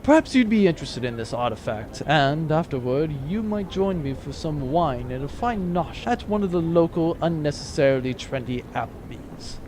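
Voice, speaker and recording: A man's voice: on the high side, sing-song, moderate pace, hardly any pauses, darkish tone, a little hiss or hum, voice pushed down. A man playing a vain, swaggering space pirate captain in a comic audio adventure, pompous and self-satisfied. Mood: smug, flirtatious.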